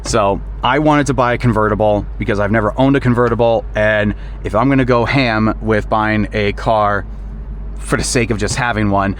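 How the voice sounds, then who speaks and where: A man in his thirties vlogging casually from a moving convertible, relaxed and chatty with frequent fillers. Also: lowish voice, normal speed. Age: 30s. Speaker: YouTube creator. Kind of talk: casual vlog while driving. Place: inside a convertible car on the road. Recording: loud road noise in a moving car. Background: loud road and engine noise.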